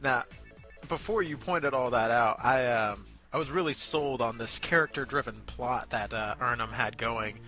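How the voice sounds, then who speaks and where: A man co-hosting a review show in casual chat, speaking hesitantly with many pauses. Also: mid-range voice, sharp tone. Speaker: co-host of an amateur voice acting review show. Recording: background music under voice.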